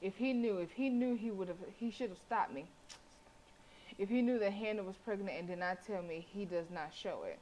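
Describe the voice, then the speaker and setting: A woman's voice: medium pitch, fairly quick, darkish tone, dull audio. A sassy, colloquial woman reading and reacting aloud as she streams a game. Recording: faint background noise.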